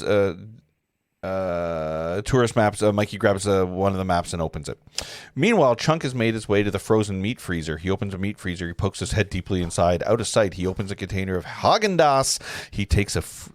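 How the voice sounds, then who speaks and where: A man's voice: deep voice, expressive, fairly quick, no echo. A man reading stage directions at a live table read, informal and joking, sometimes stumbling over his lines. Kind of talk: live script reading with casual asides.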